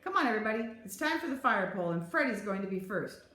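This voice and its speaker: A woman's voice: mid-range voice, quick pace. A woman reading a storybook, voicing a kind, reassuring male fire captain with an encouraging manner. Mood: upbeat. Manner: encouraging, calm. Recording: roomy echo.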